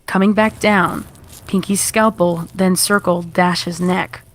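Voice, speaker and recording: Low-pitched woman narrating horror fiction. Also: steady tone, takes it slowly, no echo. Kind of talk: scripted horror story narration.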